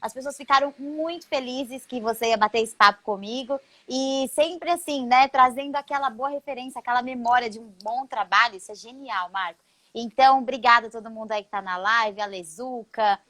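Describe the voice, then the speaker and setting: High-voiced Brazilian woman hosting a live stream. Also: brisk, dry recording. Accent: Brazilian Portuguese. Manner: chatty, warm greetings to viewers, laughs often. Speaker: live show host. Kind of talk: casual interview chat on a live stream.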